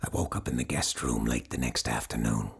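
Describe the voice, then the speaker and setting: A man playing a grave doctor who hosts an occult radio show, telling stories in a measured, theatrical, formal way with a gravelly, breathy voice. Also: very deep voice, fairly even intonation, full character voice. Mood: ominous, hushed. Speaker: radio host. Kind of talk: dramatic scripted storytelling in a radio drama.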